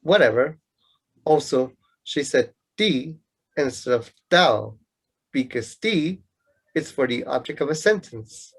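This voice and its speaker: An actor playing a know-it-all young student in a radio play, lecturing pedantically and with some exasperation in a husky voice. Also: medium pitch, lively intonation, takes it slowly, pauses often, exaggerated voice. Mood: pedantic. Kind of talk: amateur radio play acting.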